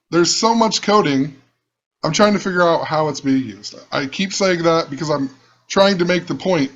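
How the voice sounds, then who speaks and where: A man with a rough voice, rambling and musing as he talks through his own video channel. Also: on the high side, a bit bright, highs cut off. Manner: musing, rambling, repeats himself. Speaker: channel host. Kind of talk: casual screen-share commentary.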